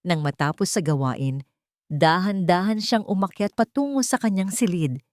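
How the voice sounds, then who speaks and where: A woman's voice: fairly low pitch, moderate pace, no echo, clean studio sound. A woman with a Filipino accent reading a scripted dramatic story as narrator.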